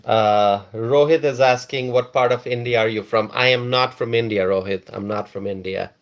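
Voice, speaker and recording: A man's voice: medium pitch, fairly even intonation, normal speed. A man from Bangladesh hosting a casual live stream, reading viewers' comments aloud and repeating phrases for emphasis.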